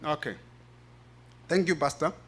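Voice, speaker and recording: A man's voice: a little high, a little slow, a bit bright, a little hiss or hum. A man presenting at a religious forum, explaining in a preaching style and repeating phrases for emphasis.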